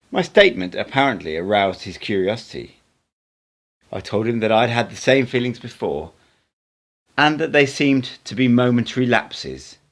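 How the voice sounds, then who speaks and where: A man, an amateur reader, narrating an audiobook in an expressive storytelling style. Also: on the low side.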